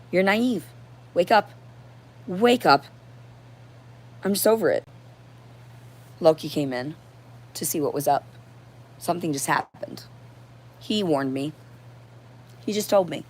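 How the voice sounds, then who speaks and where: A woman with a hoarse voice giving a rambling, unscripted livestream monologue in a confrontational, profane and disjointed manner. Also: low voice. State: hoarse throat. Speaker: livestream host.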